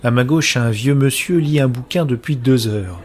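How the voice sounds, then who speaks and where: An actor playing a brooding, anguished man who narrates his own science-fiction story in measured, literary, introspective phrasing. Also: medium pitch, natural voice. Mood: wistful, contemplative. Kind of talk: scripted first-person narration of sci-fi audio drama.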